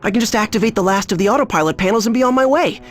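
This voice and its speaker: An actor voicing a brave, wisecracking teenage boy hero in a video game, determined and quick with a joke. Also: sits high, fairly bright tone. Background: music.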